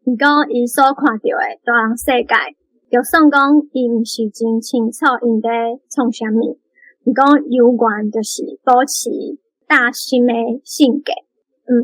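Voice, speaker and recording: A woman with a Taiwanese accent giving prepared, measured commentary on a book in an explanatory way. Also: fairly high pitch, dry recording, low-quality recording. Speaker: book club member, guest reader.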